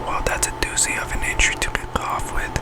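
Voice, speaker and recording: A man reading an article aloud in an ASMR whisper, halting and often stumbling over words and correcting himself. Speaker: ASMR channel host.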